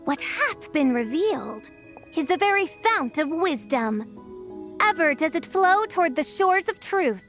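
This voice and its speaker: A woman's voice: very high voice, very animated, unhurried, fairly bright tone, faint music behind, heavily acted. A woman voicing a grandiose girl who plays the imperious princess in a video game, pompous and theatrical with archaic diction. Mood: solemn, grandiose.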